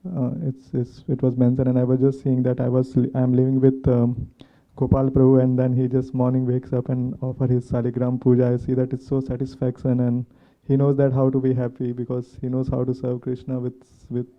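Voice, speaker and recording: A man asking a question as a class participant, speaking spontaneously in halting, non-native English phrasing. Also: mid-range voice, monotone. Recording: tinny, phone-like muffled sound.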